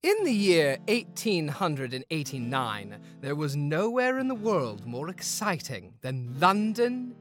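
A woman's voice: low-pitched, very animated, measured pace, hardly any pauses, faint music behind. A woman playing a brash, wry Victorian Londoner in a dramatic comedy trailer. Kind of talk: dramatic audio trailer acting.

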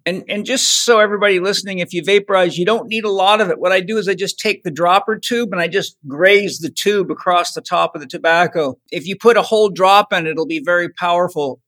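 A man hosting an interview, asking his guest questions in a smooth, friendly and measured way. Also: high voice, fairly bright tone. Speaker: podcast host.

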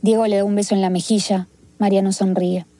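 A woman reporter narrating a documentary story in a measured, descriptive way. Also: medium pitch, flat delivery, warm tone, slight background noise. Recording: clear music bed underneath.

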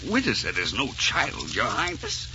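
An actor playing a vain, fretful, put-upon plump gentleman, grumbling comically in 1940s radio drama style. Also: medium pitch, wildly swooping pitch, a little slow, heavily acted. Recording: very noisy, dull old radio recording.

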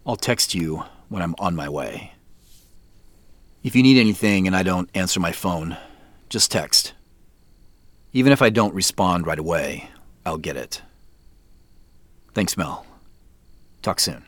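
An actor playing a tired businessman in an audio drama, dictating text messages in a measured, naturalistic way with pauses. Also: low-pitched, animated, a bit bright, dry recording, heavily acted. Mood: weary, casual.

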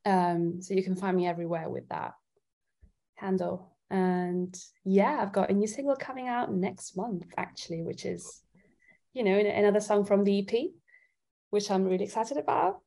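A woman's voice: mid-range voice, moderate pace, creaky, warm tone. A woman from Italy chatting casually as a guest singer-songwriter in an interview.

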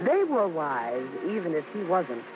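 A woman's voice: low voice, huge pitch swings, measured pace, background noise, heavily acted. An American woman playing a fond daughter who narrates a story in old radio drama style. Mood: fond, storytelling. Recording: muffled old radio, music behind.

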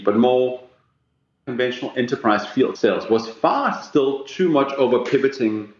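Danish-born man, roomy lo-fi call. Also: mid-range voice. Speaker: sales executive guest. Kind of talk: business podcast interview.

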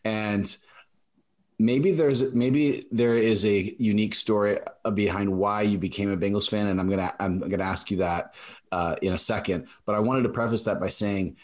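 Low-voiced male podcast host. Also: steady tone, moderate pace. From South Central Alaska. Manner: talkative, explains at length with frequent asides. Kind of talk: conversational interview.

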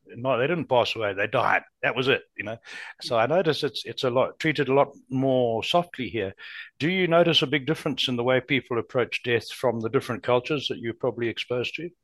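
A man's voice: medium pitch, moderate pace, fairly bright tone, no room sound. African-accented man, dry close sound. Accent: southern African. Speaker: podcast co-host. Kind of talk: conversational interview.

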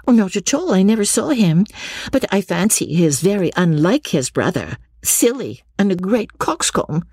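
A woman's voice: medium pitch, sing-song, moderate pace, darkish tone, breathy edge, no room sound, studio quality, voice pushed up. A woman voicing a sly, jealous young woman in a classic novel, effusive and mannered, confiding as she schemes. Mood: anxious, scornful. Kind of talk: classic novel character dialogue.

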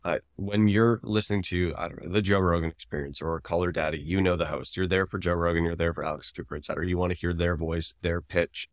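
A man's voice: sits low, steady tone, fast, few pauses, no echo. A man co-hosting a podcast, explaining his opinions in casual discussion. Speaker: podcast co-host, advertising commentator.

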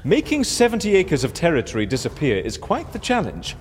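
A man's voice: a little high, huge pitch swings, fairly quick. An actor playing an eccentric, boastful old male adventurer in an audio drama, with a breezy, self-assured, theatrical manner. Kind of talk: audio fiction drama acting. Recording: echoey reverberant hall, background noise.

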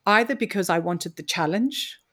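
A woman's voice: medium pitch, expressive, normal speed, fairly bright tone. A woman from Mozambique, telling her story as an interview guest in an articulate, reflective way. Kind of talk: conversational interview.

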